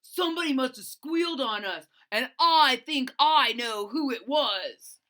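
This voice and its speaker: A woman's voice: high voice, expressive, a little slow, sharp tone, voice pushed up, heavily acted. A woman voicing a bossy male dinosaur gang leader in a read-aloud storybook, demanding and menacing. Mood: suspicious.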